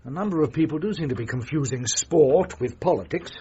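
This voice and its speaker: A pompous British merchant banker in a radio play. He is patronising and measured, with a lively, swooping melody. The recording is slightly muffled. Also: a little high, slightly rough. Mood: smug. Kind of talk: radio drama acting. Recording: muffled, faint noise.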